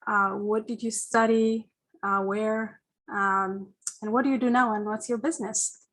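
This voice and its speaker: A woman's voice: medium pitch, fairly even intonation, measured pace, fairly bright tone, low-quality recording. A woman hosting a webinar over a video call, welcoming her guest in a friendly way with plenty of fillers. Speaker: host. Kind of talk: webinar moderation.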